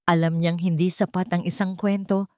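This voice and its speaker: A woman with a Filipino accent reading a dramatic scripted story in measured, emotive short phrases. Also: lowish voice, little pitch movement, darkish tone, clean tone, dry recording, clean studio sound. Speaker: story narrator.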